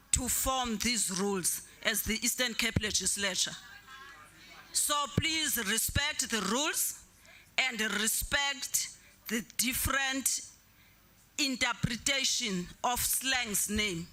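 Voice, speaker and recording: A man presiding over a legislature, measured and halting as he cautions members from a desk microphone in the chamber. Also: extremely high pitch, pushed and bright. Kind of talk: formal parliamentary chairing.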